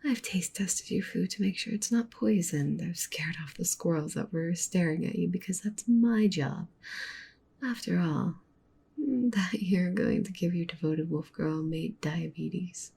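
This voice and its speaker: A woman playing a doting, possessive yandere wolf witch girlfriend in an intimate, breathy whispered ASMR roleplay. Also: unhurried, mellow, exaggerated voice. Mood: teasing, tender. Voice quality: breathy whisper with low creaky murmur. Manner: intimate, tender, clingy, coaxing.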